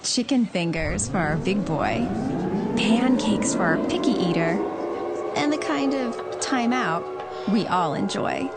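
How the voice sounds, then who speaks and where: A woman's voice: mid-range voice, expressive, a little slow, over loud music. A woman speaking scripted lines in the style of a family-themed advert.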